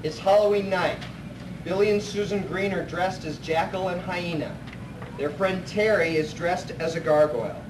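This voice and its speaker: A man's voice: sits high, moderate pace, lightly acted. A man narrating a live radio play as a plain-spoken storyteller. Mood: storytelling. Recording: echoey noisy hall, distant mic.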